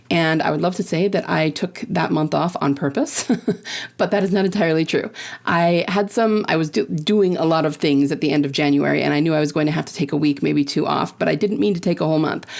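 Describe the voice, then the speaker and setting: Low gravelly woman podcast host. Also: a little mellow. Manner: chatty, often checks in with "right?" and "you know"; upbeat, laughs between points. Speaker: podcast host and fiction writing teacher. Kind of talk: casual podcast teaching.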